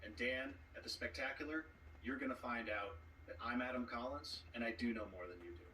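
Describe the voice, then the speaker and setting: A man with a mid-pitched, sharp and forceful voice makes a boastful promo. He phrases it dramatically and deliberately, sounding slightly away from the mic. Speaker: trivia competitor. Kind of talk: trash-talk promo speech. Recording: distant mic with background noise.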